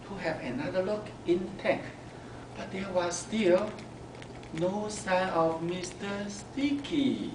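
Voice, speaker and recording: A man reads a children's story expressively, slowly and in a slightly high voice. He pauses between phrases, and the room echoes around him. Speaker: storyteller at a speaking club. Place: club meeting room. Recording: echoey room, distant mic, background noise.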